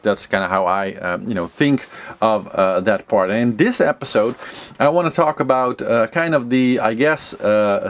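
A man in his thirties hosting an informal how-to vlog, thinking aloud in a hesitant, rambling way as he explains things to camera. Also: mid-range voice. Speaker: sailing instructor and series host. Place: small wood-lined sailboat cabin, indoors.

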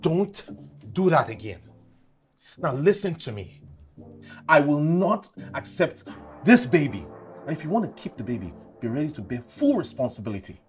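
A man acting in a film drama as a smooth-talking lover who gets evasive and defensive when cornered. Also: mid-range voice, wildly swooping pitch, very fast, hardly any pauses, mellow, soft background music. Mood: angry, defensive.

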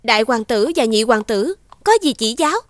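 A woman voicing a proud, shrewd young princess in an animated fairy tale, speaking in a formal, courtly and assertive way. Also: a little high, normal speed, no room sound, natural voice. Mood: cool, shrewd.

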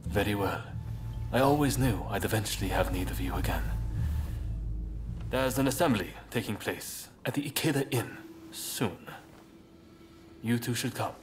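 An actor playing a composed, resolute samurai rebel leader in a video game, speaking in a guarded, formal, measured way. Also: male voice, fairly low pitch, animated, background noise, natural voice. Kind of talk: video game cutscene voice acting. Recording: dramatic music behind the voice. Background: game score.

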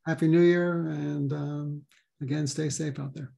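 A man hosting a talk over a video call, speaking haltingly with many pauses and ums. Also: on the high side, flat delivery, vocal fry, mellow. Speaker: host and co-chair.

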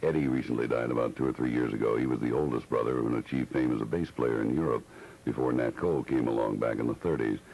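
Very deep gravelly male radio DJ. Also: fast, darkish tone, low-quality recording. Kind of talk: unhurried, reflective music-radio talk. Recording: old radio aircheck tape.

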